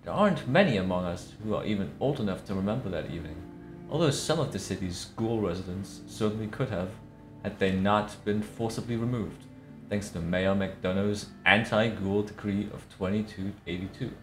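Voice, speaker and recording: A man giving a theatrical scripted narration with measured, affected diction in a put-on accent. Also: on the low side. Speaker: streamer reading aloud. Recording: roomy echo. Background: faint music.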